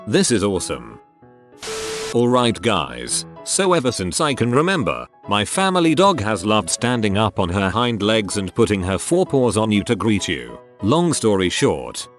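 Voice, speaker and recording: A man reading out forum posts one after another as scripted narration, with the punctuation and abbreviations spoken aloud. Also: on the low side.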